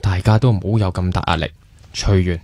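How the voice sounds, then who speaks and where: An easygoing but guarded older brother in a radio drama. He is a young man with a fairly low voice and a Hong Kong Cantonese accent. His delivery is laid-back and understated, recorded dry. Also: steady tone, fairly quick, a little mellow, dry recording. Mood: calm, guarded.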